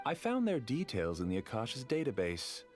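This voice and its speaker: A man voicing an obsessive, calculating researcher in a game cutscene, courteous, measured and quietly intense. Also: medium pitch, huge pitch swings, soft background music. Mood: calm confession.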